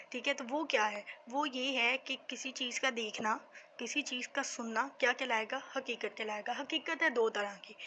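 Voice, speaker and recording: A woman with a Pakistani accent teaches in an informal lecture style. Her voice is high and very bright, and she often poses questions and then answers them. The recording has some background noise. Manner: conversational, asks and answers own questions. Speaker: law teacher.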